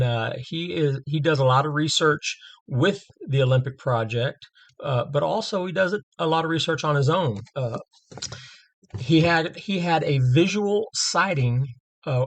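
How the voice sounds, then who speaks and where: Friendly host with a higher voice. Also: male voice. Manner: friendly, halting, many fillers. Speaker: interview host. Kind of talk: casual interview over a video call. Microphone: video call.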